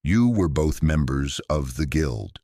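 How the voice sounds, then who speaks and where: A man's voice: a bass voice, lively intonation, slow pace, dark tone, no echo, exaggerated voice. A man voicing a prim, guarded old small-town woman in a story, with precise, drily genteel phrasing. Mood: dry, composed.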